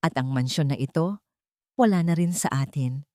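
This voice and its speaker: Guilty scared young guy talking low, woman voicing him, dry sound. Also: moderate pace, no room sound, lightly acted. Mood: remorseful. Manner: quiet, regretful. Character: young adult son, remorseful and frightened.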